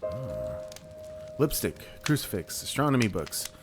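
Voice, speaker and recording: A man's voice: fairly low pitch, big pitch swings, a little slow, over music. A young man hosting a horror let's play, reading game text aloud with wry asides. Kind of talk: casual gameplay commentary.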